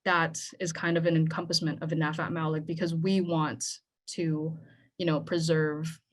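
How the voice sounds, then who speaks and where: A woman's voice: sits low, level tone, moderate pace. A young woman from the Philippines, a native Tagalog speaker, giving an earnest, conversational talk on a panel. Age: young adult. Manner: earnest, conversational, with frequent "right?". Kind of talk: speech at a panel event.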